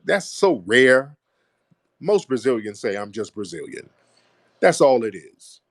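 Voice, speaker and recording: A man hosting a heated call-in debate, lecturing forcefully and repeating his points for emphasis. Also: medium pitch, no room sound, clean studio sound. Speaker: host.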